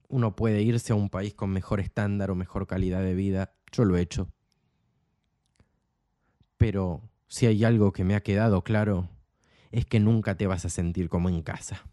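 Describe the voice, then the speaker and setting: A man's voice: fairly low pitch, dark tone, no room sound, studio quality. A young man with an Argentine accent, hosting his own program and speaking in an earnest, impassioned monologue full of repeated emphatic phrases. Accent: Argentine Spanish. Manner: earnest, repetitive rhetorical emphasis. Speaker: program host.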